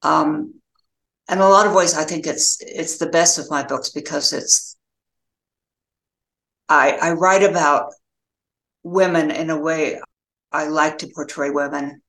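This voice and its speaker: A woman's voice: low voice, steady tone, a bit bright. Older American woman, echoey sound. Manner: halting, thoughtful, pausing mid-phrase. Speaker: novelist being interviewed. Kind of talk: reflective interview answers.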